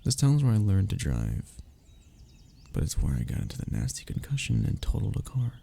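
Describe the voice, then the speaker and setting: A young man playing a wistful, lovestruck childhood best friend in an intimate audio roleplay, speaking in a halting, sighing, confessional way. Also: fairly low pitch, huge pitch swings, creaky, warm tone, slight background noise, full character voice. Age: young adult.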